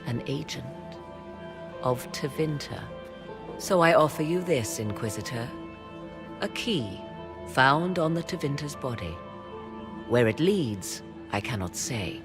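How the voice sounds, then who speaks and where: An actress playing a sly, mocking court sorceress in a video game cutscene, speaking slowly and teasingly. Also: female voice, very deep voice, animated, heavily acted. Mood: measured. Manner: slow, teasing, measured. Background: music.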